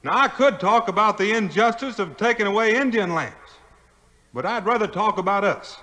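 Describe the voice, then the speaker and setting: An actor playing a plain-spoken, steadfast frontier hero with a folksy Southern American drawl in a 1950s audio play. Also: male voice, high voice, lively intonation, moderate pace, bright, forceful tone. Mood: earnest, oratorical. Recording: vintage 1950s record, long hall reverb.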